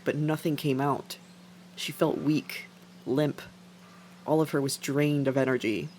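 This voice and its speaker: A woman's voice: sits low, a little hiss or hum. A woman reading scripted fiction as a dramatic narrator. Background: music.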